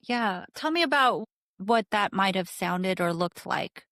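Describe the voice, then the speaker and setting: A woman co-hosting a podcast, explaining ideas in a measured academic way and asking probing questions. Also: medium pitch, lively intonation, fairly bright tone, no room sound, studio quality. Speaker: podcast co-host. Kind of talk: academic interview conversation.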